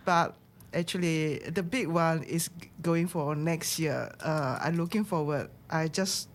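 Guest, woman, low voice, halting and laughing. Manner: hesitant, searching for words, laughs often. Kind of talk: casual chat.

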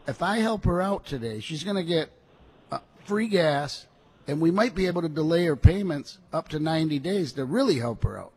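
A hoarse man selling cars on a radio show, talking in a salesy, reassuring way. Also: fairly high pitch, expressive, moderate pace, a little mellow. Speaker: car dealer guest.

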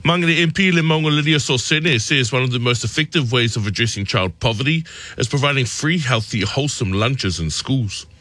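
A man reading a radio news bulletin in a measured way and chatting as the show's producer. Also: medium pitch, pushed and bright, dry recording. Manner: measured news delivery, friendly banter. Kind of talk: radio news bulletin and casual studio chat. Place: radio studio.

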